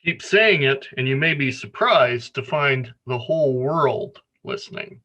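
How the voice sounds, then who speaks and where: Bright-voiced man reading a story. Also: mid-range voice, animated, unhurried. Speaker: storyteller at a worship service. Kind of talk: reading a children's story aloud.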